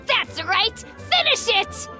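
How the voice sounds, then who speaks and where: A woman's voice: very high voice, very animated, slow, over loud music, exaggerated voice. A woman voicing an earnest, loyal little boy in a video game dub, with a childlike way of talking. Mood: eager, triumphant. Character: earnest, loyal little boy who loves beetle fights.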